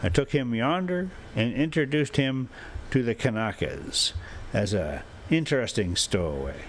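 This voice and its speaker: A man hosting a travel vlog from inside a motorhome, rambling casually and reading a story aloud in a halting, folksy way. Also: mid-range voice, animated, slight background noise. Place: inside a motorhome while driving.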